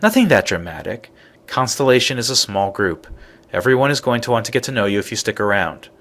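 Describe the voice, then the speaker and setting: A man's voice: mid-range voice, big pitch swings, brisk, fairly bright tone. An actor playing an articulate man in a space adventure video game, speaking in an explanatory manner.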